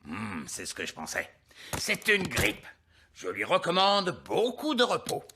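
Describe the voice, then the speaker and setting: A man's voice: a little high, wildly swooping pitch, unhurried, vocal fry, noisy background, exaggerated voice. An actor playing a wise old male elf in a French-dubbed children's cartoon, fussy and pompous, lecturing as he speaks. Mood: grave, authoritative.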